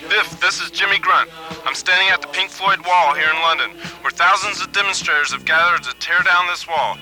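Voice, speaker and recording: Male actor as self-important overseas reporter, slightly high voice, comedy newscast, music underneath. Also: fairly quick, sharp tone, poor audio. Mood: solemn reporting. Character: self-important male TV foreign news correspondent. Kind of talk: mock on-location news report.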